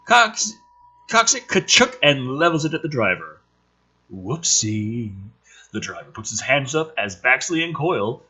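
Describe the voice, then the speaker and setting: A man gives a theatrical script reading with a bright, punchy, slightly rough voice. His pitch swings widely as he leans into the action. Between lines he slips into casual, sardonic commentary with some swearing. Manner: animated, emphatic, theatrical.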